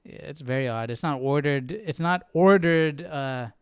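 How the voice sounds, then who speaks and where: Guy doing casual live bible study commentary, clear smooth voice, thinks out loud, no room echo. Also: on the high side, moderate pace, studio quality. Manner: informal, thinking aloud. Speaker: Bible study livestream host.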